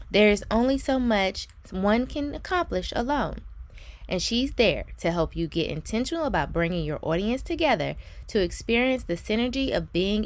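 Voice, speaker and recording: A woman hosting a podcast, introducing her guest in an earnest, upbeat manner. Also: medium pitch. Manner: earnest, faith-minded, upbeat. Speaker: podcast host. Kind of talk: interview introduction and questions.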